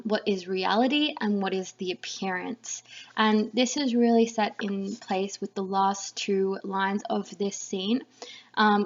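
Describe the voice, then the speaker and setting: A woman's voice: medium pitch, vocal fry. A woman giving an explanatory lesson voice-over to students as a literature teacher. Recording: slightly dull, lo-fi recording.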